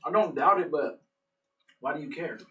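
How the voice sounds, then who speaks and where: A young man from Denver co-hosts a relaxed chat over a meal, teasing and slangy, with a slightly high voice. He sounds set back from the mic in an echoey room, with faint hiss. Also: normal speed. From Denver, USA. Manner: teasing, laughs often, slangy. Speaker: co-host. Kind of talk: casual group chat over food. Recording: roomy, distant mic, faint noise.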